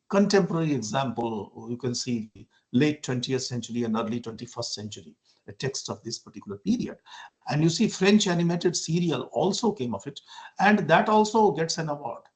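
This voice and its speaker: A man from India, giving an academic lecture over a video call, explaining with rhetorical questions and frequent "uh" fillers. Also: medium pitch. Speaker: university lecturer.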